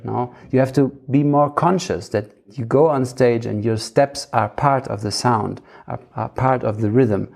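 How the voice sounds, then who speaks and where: A man talks reflectively in a relaxed, dark timbre with plenty of vocal fry. He searches for his words and often repeats short phrases as he thinks. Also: mid-range voice. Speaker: theatre practitioner in conversation. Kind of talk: casual reflective discussion.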